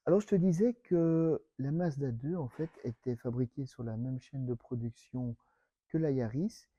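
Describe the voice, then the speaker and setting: A man's voice: mid-range voice, mellow, no room sound. A man with a Belgian French accent presenting a car in a casual, chatty way, talking to the viewer in familiar, slangy words.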